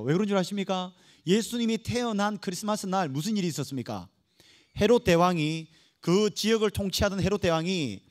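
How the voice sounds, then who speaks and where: A man preaching a church sermon as a pastor, emphatic and dramatic, putting rhetorical questions to his congregation. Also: high-pitched. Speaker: pastor preaching to young adults.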